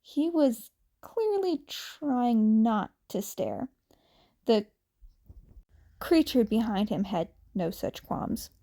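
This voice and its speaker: A woman's voice: fairly high pitch, expressive, slow pace, pauses often, dark tone. A woman narrating a fan fiction story as an audiobook reader. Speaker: podfic narrator.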